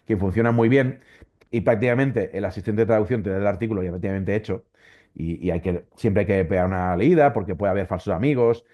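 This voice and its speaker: Low-voiced Valencian man, dull recording. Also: fairly quick. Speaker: interview guest, volunteer Wikipedia editor. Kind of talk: conversational explanation in an interview.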